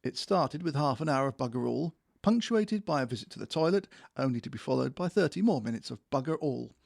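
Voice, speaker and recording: A British actor playing a grumpy, sarcastic small-town office worker in a comedy audio drama, grumbling and swearing sardonically. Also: male voice, mid-range voice, expressive, brisk, gravelly, darkish tone. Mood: grumbling, exasperated.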